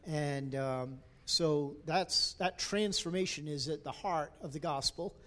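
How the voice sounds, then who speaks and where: A pastor with a fairly high voice welcomes a guest speaker to the pulpit. He speaks haltingly, filling his pauses with ums and uhs. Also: fairly bright tone. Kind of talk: church introduction. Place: church sanctuary.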